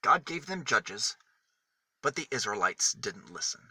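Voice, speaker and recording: Bright-voiced man reading a children's story. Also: lowish voice, big pitch swings, slightly rough, no room sound. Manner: deliberate, emphatic phrasing with single words set apart. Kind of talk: picture-book read-aloud for small children.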